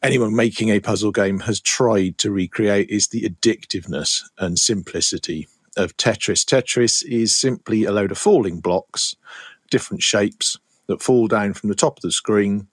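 A middle-aged man co-hosting a podcast, chatting and explaining in a loose, rambling way. His voice is fairly low. The sound is close and dry, with no room around it. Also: normal speed, slightly rough, no echo. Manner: rambling, explanatory, with many fillers and restarts. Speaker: podcast co-host. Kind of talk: casual chat about retro games.

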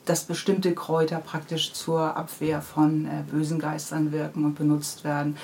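Woman chatting, clearly noisy background. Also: sits low, completely flat delivery, normal speed, a little mellow. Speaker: children's book author being interviewed. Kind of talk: casual interview chat about own books.

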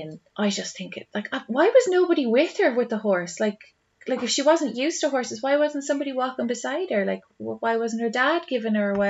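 An Irish woman co-hosting a podcast, chatting casually in an emotive, rambling way. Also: a little high. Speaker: podcast co-host. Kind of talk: casual chat. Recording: slightly dull, lo-fi sound.

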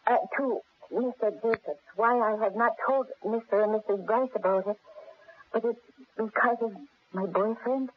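An actress playing an earnest, anxious young housekeeper in a radio drama, speaking politely and deferentially. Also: female voice, on the high side, fairly even intonation, normal speed, a little hiss or hum, lightly acted. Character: earnest, anxious young Irish-American housekeeper, stubbornly loyal. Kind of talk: 1970s radio drama acting. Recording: muffled phone-like vintage radio recording.

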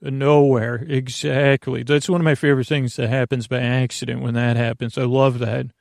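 A man hosting a sleep podcast in a gravelly voice, with a meandering, stammering, reassuring bedtime monologue that keeps losing its thread. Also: mid-range voice, little pitch movement, normal speed, darkish tone, dry recording, clean studio sound. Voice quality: creaky, gravelly.

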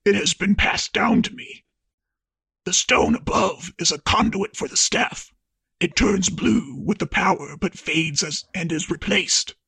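A man voicing a solemn, child-sized ancient toad elder who speaks in slow, grave pronouncements. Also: high-pitched, gravelly, pushed and bright, breathy edge, no echo, heavily acted. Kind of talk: comedic podcast story reading.

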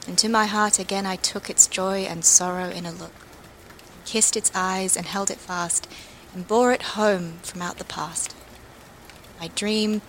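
A woman reading poetry aloud in a measured, expressive manner. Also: on the low side, slight background noise, rough recording. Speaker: guest poetry reader. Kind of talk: poetry recitation.

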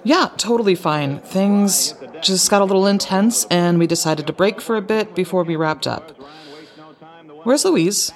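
Female actor as apologetic adult daughter, naturalistic audio drama; conciliatory, mid-pitched, concerned for Dad. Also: a little slow, lightly acted. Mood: apologetic, evasive. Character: worried, apologetic grown daughter of an ageing father.

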